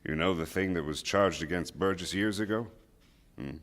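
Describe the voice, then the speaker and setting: An actor playing a feverish, penitent old man on his deathbed in a stage radio drama, speaking in halting, anguished declamation. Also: deep voice, a bit bright. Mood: confessing, weary. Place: theatre stage before a live audience.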